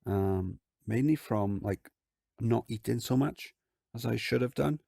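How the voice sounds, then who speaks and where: A man with a croaky voice, hosting a casual game commentary and rambling with lots of filler words. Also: medium pitch, measured pace, warm tone, dry recording, clean studio sound. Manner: rambling, lots of ums and likes. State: croaky voice from illness. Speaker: gaming channel host. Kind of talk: casual let's-play commentary.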